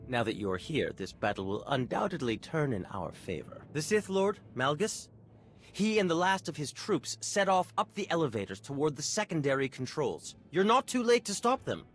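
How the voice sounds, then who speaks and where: Self-important techie man, highish voice that swings around a lot, theatrical, video game style. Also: sing-song, normal speed, a little hiss or hum. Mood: boastful. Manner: pompous, wordy and theatrical. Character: vain, excitable male exotechnology engineer, relieved and self-important.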